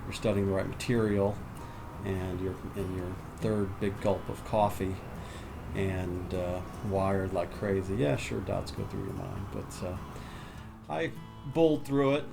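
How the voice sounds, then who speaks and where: A middle-aged man, a lawyer, reflecting on his studies with many pauses. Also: low-pitched, steady tone, gravelly, music in the background. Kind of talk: documentary interview. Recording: air conditioning hum behind.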